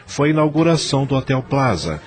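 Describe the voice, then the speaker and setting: A man's voice: medium pitch, very animated, brisk, soft background music. A man narrating a radio drama.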